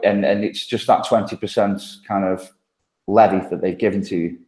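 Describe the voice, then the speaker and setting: A man's voice: sits low, fairly even intonation, normal speed, darkish tone. Man, thick Midlands accent, casual podcast guest, rambling, laughs a lot, roomy echo. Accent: English Midlands. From Stoke-on-Trent, England. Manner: chatty, laughs often, many ums and restarts. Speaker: guest, agency partnership manager.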